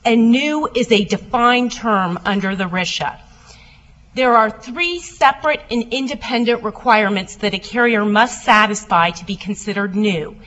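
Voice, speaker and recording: A woman lawyer giving a formal oral argument before appeals judges, loud and steady as she answers questions. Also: a little high, normal speed, lo-fi sound. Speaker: appellate lawyer.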